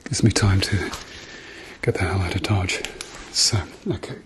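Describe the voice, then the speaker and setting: A man speaking softly to the listener as a doctor in an ASMR medical roleplay, murmuring reassuringly and often slipping into whispers. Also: deep voice, steady tone, a little slow, slight background noise. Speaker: ASMR performer playing a doctor.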